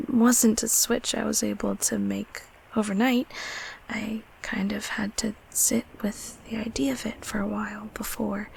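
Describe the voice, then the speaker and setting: A woman playing a gentle, affectionate closet monster in an intimate comfort roleplay, speaking softly and hesitantly in a whispery, reassuring way. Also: fairly high pitch, exaggerated voice, rough recording. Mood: reflective, tender. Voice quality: soft, breathy, whispery. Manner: slow, hesitant, tenderly reassuring.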